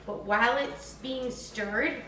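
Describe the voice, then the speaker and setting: A woman with a fairly high voice leads a cooking lesson for children at a very unhurried pace. Her wording is simple and encouraging, explained step by step. She sounds some way from the microphone in an echoey room. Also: a bit bright. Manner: simple, encouraging, instructional talk aimed at children. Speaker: teacher demonstrating a recipe for children. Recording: distant mic, echoey room, faint noise.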